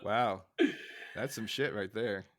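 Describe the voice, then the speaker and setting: A man's voice: on the low side, takes it slowly, crowd noise. A man hosting a casual podcast discussion, talking informally and moving the conversation along. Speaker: podcast host.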